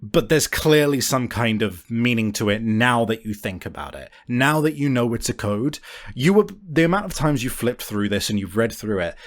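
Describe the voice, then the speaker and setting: A man's voice: mid-range voice, sing-song, normal speed. A man improvising tabletop game narration as game master in a casual, sweary, conversational way. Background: faint background music.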